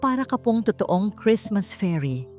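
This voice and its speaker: A woman with a Filipino accent voicing a bright, polite, curious seven-year-old girl in an audiobook. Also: sing-song, unhurried. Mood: awed. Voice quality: high, shrill child voice. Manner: polite, eager. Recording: music bed under the voice.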